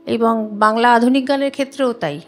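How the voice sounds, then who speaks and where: A woman's voice: fairly high pitch, fairly quick, soft background music. A woman, answering interview questions in an earnest, emphatic, conversational way. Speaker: singer, interview guest.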